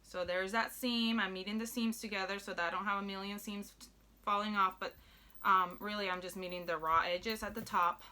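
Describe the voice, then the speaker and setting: Bright-voiced woman teaching sewing. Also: medium pitch, steady tone, brisk. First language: Spanish. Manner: chatty, upbeat, narrates each step while working. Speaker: sewing instructor. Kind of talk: casual sewing tutorial.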